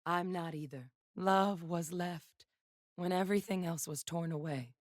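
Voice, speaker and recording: A woman's voice: fairly low pitch, steady tone, measured pace, lightly acted. An actress playing a resolute, haunted woman sentinel gunslinger back from death in a video game, solemn and commanding with dry wit. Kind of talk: video game character voice lines.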